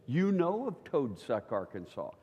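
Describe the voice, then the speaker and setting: Older male preacher, conversational teacher, mid pitch, recorded with long church-hall reverb. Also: wildly swooping pitch, measured pace, breathy edge, dull audio. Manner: chatty, keeps checking in with listeners.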